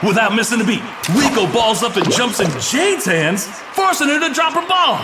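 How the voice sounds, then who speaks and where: Dramatic sports commentator, big swinging pitch. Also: male voice, lively intonation, normal speed, loud music behind the voice. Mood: excited shouting. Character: excitable dramatic male sports commentator narrating brawls.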